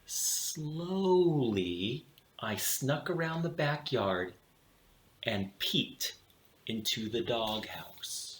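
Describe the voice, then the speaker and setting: A man reading a children's picture book aloud expressively, with theatrical delivery and deliberate dramatic pauses. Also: medium pitch, very animated, a bit bright. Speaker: storybook reader for children. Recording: roomy, echoing room sound.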